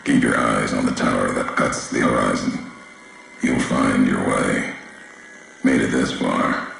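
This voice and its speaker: An actor playing a grave, brooding, vengeful wasteland courier in a video game, speaking in clipped, solemn, philosophical monologue with a gravelly, husky voice. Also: male voice, extremely low pitch, measured pace, fairly bright tone, slight background noise, full character voice. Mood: grave, challenging. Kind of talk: video game voice acting, slow portentous monologue.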